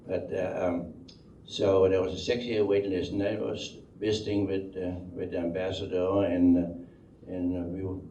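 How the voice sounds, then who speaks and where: A distant, echoey recording of an older man with a low, mellow voice and a Danish accent. He recalls his past in a halting, rambling way, often doubling back on words. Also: level tone. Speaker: speaker telling life story. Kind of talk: unscripted oral history storytelling. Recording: dull, roomy, distant microphone, faint noise.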